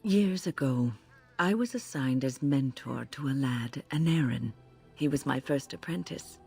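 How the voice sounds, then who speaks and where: Game role: older woman mage, creaky low-end rasp, reflective, regretful mentor. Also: warm tone, faint music behind, full character voice. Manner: measured, reflective. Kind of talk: video game character dialogue.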